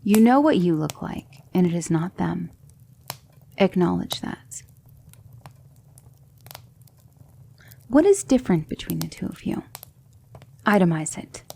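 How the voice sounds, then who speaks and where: A woman playing a gentle, patient demonic magic mentor in an ASMR roleplay, speaking in measured, hushed instruction that slips into whispers. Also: on the low side, animated, vocal fry, husky, full character voice. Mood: patient, instructive. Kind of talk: ASMR roleplay, soft speech slipping into whispers.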